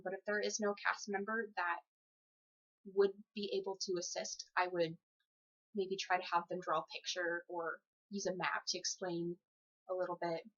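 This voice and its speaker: A woman's voice: lowish voice, a bit bright. A young adult woman giving conversational answers as a job applicant in a phone interview, with a polite, easygoing, giggly manner. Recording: dull lo-fi phone interview recording.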